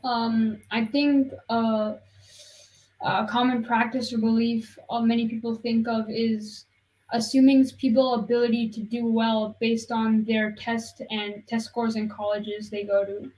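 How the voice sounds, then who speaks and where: A woman's voice: on the high side, little pitch movement, a little slow, darkish tone, low-quality recording. A young woman answering casually and a little hesitantly as a student guest on a panel podcast.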